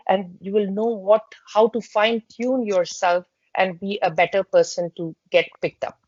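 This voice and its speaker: A woman, an interview guest earnestly laying out job-search advice step by step, pausing often. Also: mid-range voice, a little mellow, no room sound. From India. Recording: dry, muffled lo-fi audio.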